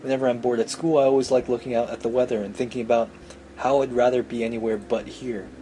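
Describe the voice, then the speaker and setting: A man's voice: mid-range voice, little pitch movement, fast talker, mellow, slight background noise, poor audio. A man reading a visual novel aloud as a let's play host, with casual, irreverent commentary. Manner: irreverent, sweary.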